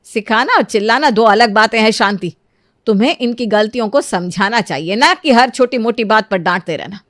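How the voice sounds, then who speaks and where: A woman voicing a kindly, motherly middle-aged lady who reasons gently in a moral storybook tale. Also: a little high, expressive, moderate pace, no echo, studio quality. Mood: reproachful. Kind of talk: animated moral storybook dialogue.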